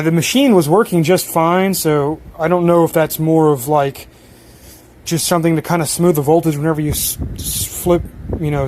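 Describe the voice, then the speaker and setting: A man giving casual hands-on repair commentary in an informal, self-deprecating way. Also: on the high side. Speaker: hobbyist electronics repairer. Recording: clear steady background noise.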